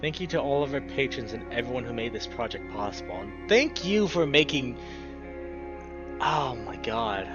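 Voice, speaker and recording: A man's voice: medium pitch, lively intonation, moderate pace, muffled recording. A man giving casual, emotional gameplay commentary as a let's play host, effusive and exclamatory, drawing out his words over game music.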